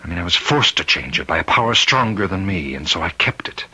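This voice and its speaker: An actor playing a tormented prison warden in an old-time radio drama, halting and repeating himself in a husky voice, as a husband who fears he is possessed. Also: deep voice, big pitch swings, sharp tone, exaggerated voice, poor audio. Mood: desperate, menacing. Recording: vintage radio drama recording.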